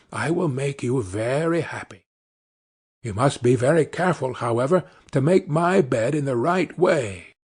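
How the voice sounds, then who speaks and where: Man reading as old storybook woman, kindly, gently instructive, husky, lively intonation. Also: fairly high pitch, full character voice.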